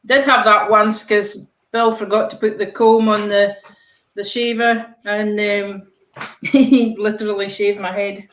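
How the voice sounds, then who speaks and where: An older woman talks in a soft, halting way and lets her sentences trail off, with a dry humour running underneath. Her voice carries a roomy echo, as if she is on a video call from a bare room. She has an ordinary, middle-height voice. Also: medium pitch, little pitch movement. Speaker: participant in a live colouring call. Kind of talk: casual chat.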